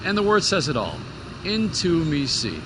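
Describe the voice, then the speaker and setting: A man's voice: fairly high pitch, huge pitch swings, normal speed. A man giving reflective radio commentary, recorded outdoors in a city. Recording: noisy outdoor city location with traffic hum.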